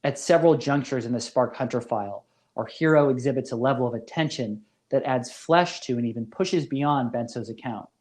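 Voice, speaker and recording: A man speaking as a professor in a scripted lecture, with deliberate, literary, measured phrasing. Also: mid-range voice, darkish tone. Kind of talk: scripted philosophy lecture narration.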